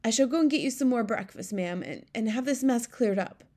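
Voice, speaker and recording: A woman's voice: fairly high pitch, lively intonation, a little fast, darkish tone, voice pushed up. Woman voicing an anxious, deferential middle-aged royal maid. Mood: fussing. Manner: deferential, flustered, stammering. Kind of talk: storybook character voice.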